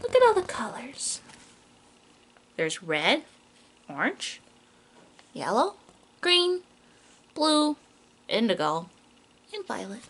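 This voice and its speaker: Bright, high-pitched woman reading to young children. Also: slow, long pauses, breathy edge. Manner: animated, questioning, speaks directly to small children. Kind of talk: children's picture book read-aloud.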